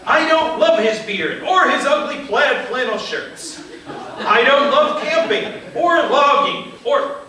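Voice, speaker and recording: A man's voice: extremely high pitch, animated, takes it slowly, bright, forceful tone, heavily acted. A man playing a legendary giant's resentful younger brother in a live radio comedy. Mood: aggrieved. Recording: distant echoey theater.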